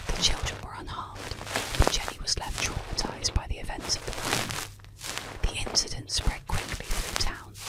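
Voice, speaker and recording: Whispering woman, breathy ASMR storyteller. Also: sits high, low-quality recording. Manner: hushed, unhurried, deliberate enunciation. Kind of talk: soft whispered ASMR scripted bedtime storytelling. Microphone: close-up microphone.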